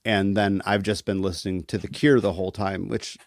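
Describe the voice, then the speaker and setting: Low-voiced man in thoughtful, casual conversation. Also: little pitch movement, a little fast, gravelly, dry recording, clean studio sound. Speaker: interview guest, musician.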